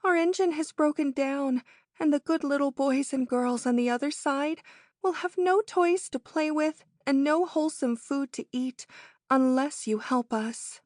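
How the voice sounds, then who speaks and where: A woman's voice: sits high, unhurried, darkish tone, no room sound, clean studio sound. A woman playing small dolls and toys in a children's storybook reading, pleading earnestly and imploringly.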